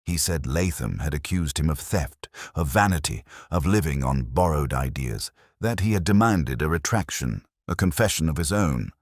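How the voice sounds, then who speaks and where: A man narrating a mystery drama as a brooding, reflective detective inspector, in a measured, solemn and literary delivery. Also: extremely low pitch, expressive, dry recording, studio quality, exaggerated voice. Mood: reflective, sombre. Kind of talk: scripted first-person mystery narration.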